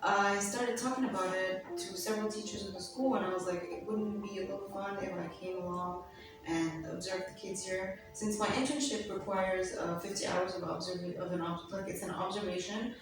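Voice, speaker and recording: A woman's voice: mid-range voice, steady tone, a little fast. A young woman, a student whose first language is Arabic, chatting casually as an interview guest with many fillers. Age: young adult. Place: school set. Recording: echoey room, mic far off, music behind.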